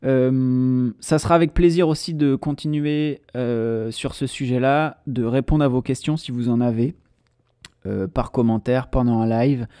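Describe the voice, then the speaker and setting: A man talks alone on his podcast in a relaxed, unhurried way, often pausing on fillers as he explains. His voice is mellow and a little dark. The sound is close and dry, with no room echo. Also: medium pitch. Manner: hesitant, many "euh" fillers, relaxed explaining. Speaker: podcast host. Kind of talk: casual solo podcast monologue.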